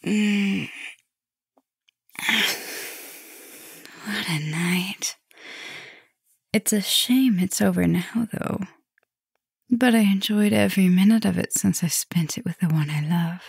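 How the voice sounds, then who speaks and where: A woman playing an affectionate, softly dominant vampire professor in an intimate ASMR roleplay, speaking softly and teasingly, often dropping into a whisper. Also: mid-range voice, slow pace, creaky, long pauses, breathy edge, dry recording, studio quality, heavily acted. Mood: tender, loving.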